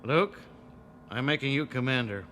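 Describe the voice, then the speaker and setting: An actor playing a grave military general in a radio drama, formal, measured and commanding in his delivery. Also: medium pitch, fairly bright tone, slight background noise, close to an everyday voice. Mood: grave, businesslike.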